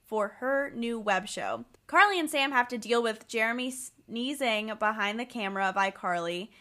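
A woman in her twenties co-hosting a chatty podcast with a bright, crisp tone. Her voice sits a touch high. She laughs easily and goes along with her co-host's jokes. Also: expressive, moderate pace. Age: 20s. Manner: giggly, agreeable. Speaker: podcast co-host. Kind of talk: casual rewatch podcast chat.

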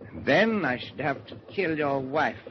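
An actor playing a suave Nazi spy in a 1940s radio drama, formally courteous while coolly threatening. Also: male voice, mid-range voice, big pitch swings, measured pace. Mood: cool menace. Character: suave, menacing male Nazi spy holding hostages. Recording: muffled, noisy 1949 radio broadcast.

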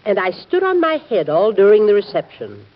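An actress playing the sharp, teasing wife of a president in an old-time radio drama, speaking formally and with dry reproach. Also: female voice, mid-range voice, very animated, moderate pace. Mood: chiding. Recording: muffled vintage radio drama recording.

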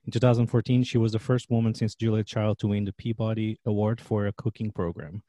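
A middle-aged man from Portugal with a Portuguese accent, hosting a food podcast with an upbeat, energetic manner. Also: on the low side, quick pace, dry recording. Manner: energetic upbeat intro, quieter when asking questions. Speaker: podcast host and chef. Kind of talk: podcast interview and ad read.

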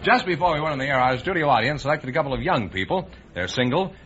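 Animated male announcer, muffled old radio. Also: medium pitch, fast, husky, faint music behind.

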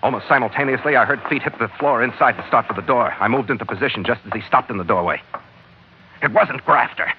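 An actor playing a tough, vengeful sea captain with a clipped, hardboiled manner in an old radio adventure. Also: male voice, mid-range voice, fast, slight background noise, lightly acted. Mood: bitter, furious. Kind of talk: 1940s-50s radio adventure acting and narration. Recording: muffled old radio recording.

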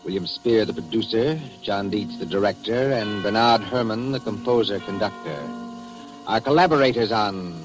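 A man giving a formal, measured, scripted announcement in the style of a 1940s radio host. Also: fairly low pitch, over music, poor audio. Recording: 1940s radio broadcast recording.

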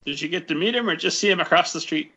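A man from Indiana hosting an interview, asking short relaxed questions and telling a playful anecdote. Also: fairly high pitch, quick pace. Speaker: podcast host. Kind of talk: casual interview chat. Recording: slightly dull lo-fi audio.

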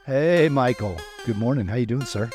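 A man's voice: medium pitch, moderate pace, a little mellow, soft background music. A man co-hosting an interview podcast in a casual, conversational style. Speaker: podcast co-host.

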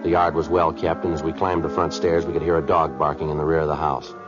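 An actor playing a stoic, courteous police detective in a 1950s radio drama, narrating and questioning in a terse, deadpan way. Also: male voice, extremely low pitch, fast talker. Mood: flat, factual. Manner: deadpan, terse, matter-of-fact. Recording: muffled vintage radio recording with music.

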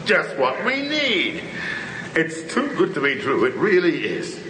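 Brash, animated film maker. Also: male voice, on the high side, measured pace, heavily acted, low-quality recording. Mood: enthusiastic. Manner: prattling, brash, jovially dismissive. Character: boorish, excitable, self-important film maker. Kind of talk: radio drama acting. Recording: hissy old radio drama recording.

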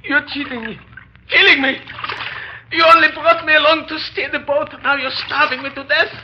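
An actor playing a starving, half-crazed convict ranting threats in an old radio drama. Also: male voice, very high-pitched, fairly even intonation, normal speed, slight background noise. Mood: vengeful, hysterical. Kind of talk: 1930s radio acting. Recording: dull old radio recording.

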